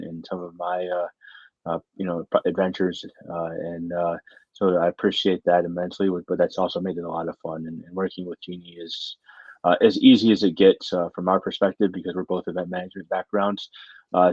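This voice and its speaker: A middle-aged American man with a low voice that stays very flat in pitch. He answers as an academic interview guest, earnest and halting, often repeating words as he goes. The room adds a noticeable echo. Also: lo-fi sound. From Michigan, United States. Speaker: university faculty member, interview guest.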